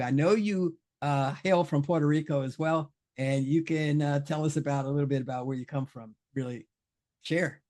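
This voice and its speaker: A man's voice: fairly high pitch, darkish tone. A man hosting an interview show, easygoing and encouraging, often repeating his words. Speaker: podcast host. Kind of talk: casual interview.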